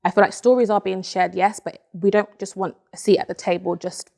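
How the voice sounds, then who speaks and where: A young woman from Nigeria, an interview guest in a dark studio, speaking earnestly and with self-assurance on a lapel mic. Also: mid-range voice, flat delivery, a little fast, vocal fry. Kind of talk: casual interview chat. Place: dark studio set, indoors.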